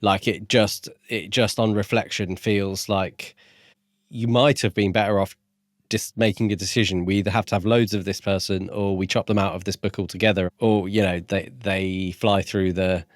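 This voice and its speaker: A man's voice: lowish voice, steady tone, normal speed, dry recording, studio quality. A man co-hosting a book podcast, talking through his opinions in casual discussion.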